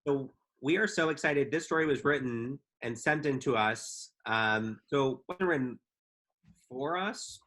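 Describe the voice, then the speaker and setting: A man's voice: mid-range voice, a little slow, fairly bright tone, rough recording. A man co-hosting a live podcast, chatting in an easygoing way with frequent hesitations and ums. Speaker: podcast co-host. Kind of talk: casual live podcast chat.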